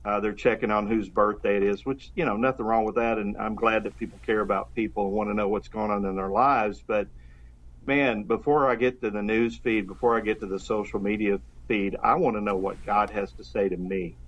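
A man's voice: lowish voice, fairly even intonation, a little fast, fairly bright tone. A pastor speaking earnestly and fervently about faith in a casual conversation, often restarting his sentences. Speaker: pastor, guest. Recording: dull, lo-fi sound.

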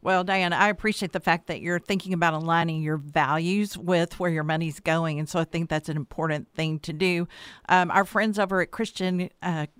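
A woman's voice: on the low side, fairly even intonation, normal speed, fairly bright tone, smooth, no room sound. Female guest advisor, Texan accent, conversational, clean resonant voice, little room sound. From Texas, United States. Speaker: guest financial advisor on show. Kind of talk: conversational advice.

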